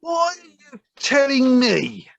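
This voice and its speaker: A woman's voice: sits high, wildly swooping pitch, slow pace, creaky, dry recording, highs cut off, heavily acted. A woman playing a bossy, impatient schemer in an audio play, scornful and exasperated. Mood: scornful, scheming. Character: bossy, impatient scheming villain plotting against Toad. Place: living room, recorded over video call.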